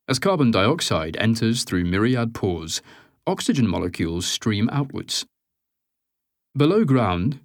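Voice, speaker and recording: Guy narrating a nonfiction audiobook, lots of pitch movement, very dry close sound, articulate. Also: dry recording.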